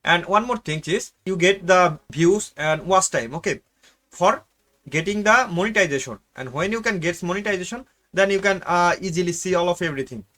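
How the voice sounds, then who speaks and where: Man pitching services, agreeable, broken grammar, slightly high voice. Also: moderate pace, pushed and bright. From Bangladesh. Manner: agreeable, broken English grammar, says "okay, sure" a lot. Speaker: agency representative. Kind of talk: sales call.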